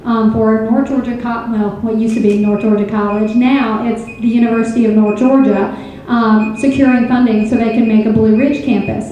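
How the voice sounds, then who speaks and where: Mellow-voiced female moderator, distant and echoing. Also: a little high, slight background noise. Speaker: forum moderator. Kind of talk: moderating a candidate forum. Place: city auditorium. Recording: echoey hall, distant from the mic.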